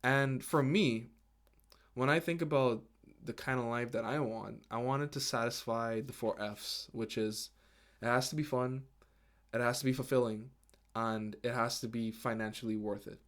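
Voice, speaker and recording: A man in his twenties who grew up in Guyana, hosting his first solo podcast episode in an earnest, halting way, with restarts and fillers. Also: medium pitch, fairly even intonation, a bit bright. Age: 20s. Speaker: podcast host. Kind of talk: casual solo podcast talk.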